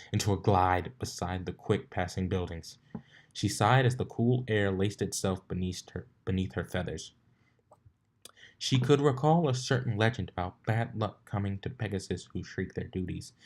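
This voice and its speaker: A man's voice: lowish voice, a little mellow. A man narrating a story expressively, sometimes stumbling over a line and reading it again. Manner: stumbles over lines and rereads them. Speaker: fan fiction reader.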